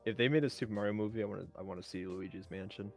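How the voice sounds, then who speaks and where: A man's voice: on the low side, very fast, creaky, faint music behind. A man chatting casually as a panelist about movies and games.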